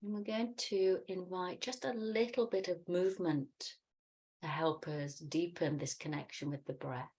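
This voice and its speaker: Meditation teacher: middle-aged woman, low register, calm and soothing, unhurried guided instruction.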